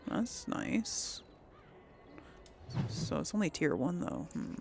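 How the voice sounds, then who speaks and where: A woman's voice: on the low side, sing-song, slow, gravelly, slight background noise. A woman hosting a casual game playthrough, chatting and thinking aloud as she plays. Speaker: gaming video host. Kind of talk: casual playthrough commentary with role-played dialogue.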